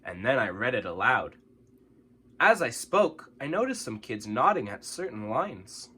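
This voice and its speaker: A man reading a children's story aloud in an expressive, dramatic way with exaggerated emphasis. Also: mid-range voice, unhurried, slightly rough.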